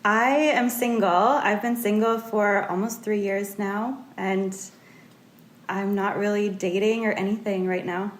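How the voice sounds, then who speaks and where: A woman of thirty, a podcast guest, chatting in a relaxed, casual way. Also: mid-range voice, a little slow. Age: 30s. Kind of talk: casual conversation. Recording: echoey room with faint noise.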